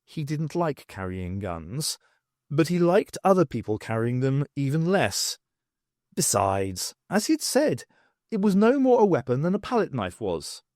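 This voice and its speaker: Animated male audiobook narrator, dry close sound. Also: a little high, measured pace, dry recording. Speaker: audiobook reader. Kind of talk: scripted narration of a science fiction novel.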